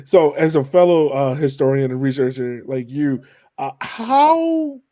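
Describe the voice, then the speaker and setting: A man's voice: a little high, sing-song, slow pace, mellow. A man with an American accent hosting a podcast, asking questions in a casual, conversational style. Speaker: podcast host, interviewer. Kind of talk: casual interview chat.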